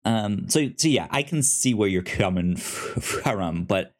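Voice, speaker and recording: A man co-hosting a casual movie review discussion, speaking in a halting way with many repeated words and restarts. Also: fairly low pitch, animated. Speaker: podcast co-host reviewing movies.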